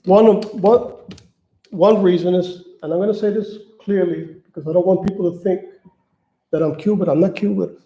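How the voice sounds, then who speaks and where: A man from New York with a high-pitched voice that still sounds very dark and mellow. He talks off the cuff to his viewers, stumbling and repeating words as he searches for his next phrase. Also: steady tone, moderate pace, poor audio. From Manhattan, New York, United States. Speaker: channel host appealing for donations. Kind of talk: casual unscripted livestream talk.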